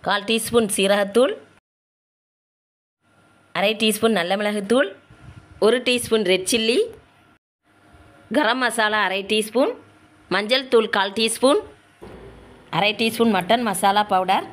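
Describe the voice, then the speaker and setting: A woman's voice: medium pitch, fairly bright tone. A woman with a southern Tamil Nadu accent, narrating recipe steps as a home cook in a plain, colloquial, instructional way. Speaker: home cook and cooking show host.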